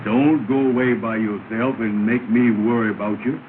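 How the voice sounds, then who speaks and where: A man's voice: mid-range voice, steady tone, normal speed, natural voice. An actor playing a bossy, falsely confident man in an early 1930s comedy film, with folksy grammar and a scratchy old soundtrack. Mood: anxious scolding. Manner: reassuring, commanding, folksy nonstandard grammar. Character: bossy, falsely confident man leading his scared pal. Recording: scratchy, noisy, narrow-band 1930 film soundtrack.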